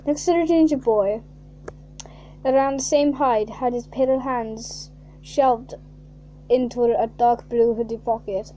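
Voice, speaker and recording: A woman reading a fan fiction aloud in a mocking way, reading flatly and then bursting into shrieking, laughing asides. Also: fairly high pitch, a little slow, slight background noise, rough recording.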